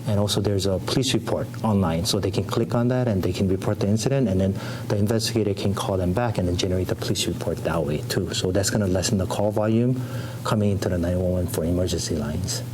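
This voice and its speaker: Low-voiced man explaining haltingly in an interview. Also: darkish tone, a little hiss or hum, rough recording. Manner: plainspoken, halting, loosely built sentences. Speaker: 911 call center official being interviewed.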